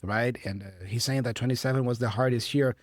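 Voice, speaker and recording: A man's voice: medium pitch, fairly quick, dry recording, studio quality. Brazilian man explaining English phrases. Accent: Brazilian Portuguese. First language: Portuguese. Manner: patient, explanatory, checks in with "right?". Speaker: English teacher. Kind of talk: explaining a clip for learners.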